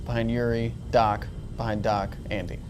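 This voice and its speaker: A man's voice: mid-range voice, level tone, moderate pace, darkish tone, a little hiss or hum. A man playing a tabletop role-playing game, arguing his case persuasively in casual group discussion. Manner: reasoning, persuasive. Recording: background music under the voice.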